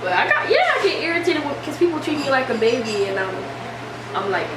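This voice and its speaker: Young woman, higher-pitched voice, casual animated storyteller, recorded from across an echoey room. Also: fast talker. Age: young adult. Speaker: vlogger. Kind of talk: casual chat. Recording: distant mic, echoey room, steady hiss.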